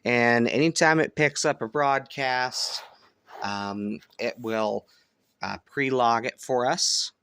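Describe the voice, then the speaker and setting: A man giving a casual hands-on product review, thinking aloud in a halting way. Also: medium pitch, fairly bright tone, clear, resonant tone, no echo. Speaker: host of a shortwave radio review channel. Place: indoors at home, upstairs room.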